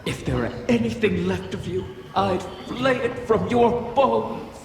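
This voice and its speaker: A woman voicing an ancient, proud, bitter female dragon in a video game, with a slow, grand, cryptic delivery and a cavernous creature effect. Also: mid-range voice, gravelly, slight background noise. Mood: anguished, furious. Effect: cavernous reverberant creature effect. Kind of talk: dramatic video game voice acting. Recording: long echoing hall reverb, loud music.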